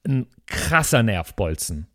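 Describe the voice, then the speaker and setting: A man's voice: lowish voice, big pitch swings, takes it slowly, sharp tone. A man chatting colloquially as a podcast co-host, with blunt, punchy remarks. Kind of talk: casual chat.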